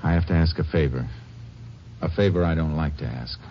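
An actor playing a steady Texas Ranger in a 1950s radio drama, speaking calmly and politely with a Texan accent. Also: male voice, very deep voice, a little fast. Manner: calm, polite, plainspoken. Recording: muffled old radio recording with faint hiss.

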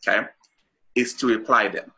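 A man's voice: lowish voice, steady tone, moderate pace, sharp tone, husky. A middle-aged man from Haiti, a guest author on a talk show, explains his ideas earnestly with many fillers and restarts.

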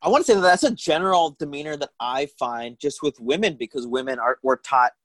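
A young man chatting casually on a remote call, joking and self-deprecating with quick asides. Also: a little high, fairly bright tone, no room sound. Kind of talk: casual chat.

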